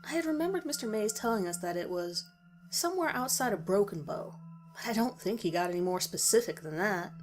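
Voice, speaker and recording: A woman narrates a first-person horror story at a middle pitch, reading from a script. Music plays softly beneath her voice. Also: animated, brisk.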